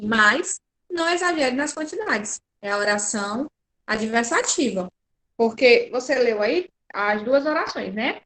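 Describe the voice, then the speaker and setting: A woman's voice: fairly high pitch, fairly bright tone. A woman with a Brazilian Portuguese accent teaching a grammar lesson over a video call, patiently checking in with her students. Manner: patient, checks in often with "né?" and "certo?". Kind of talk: online class.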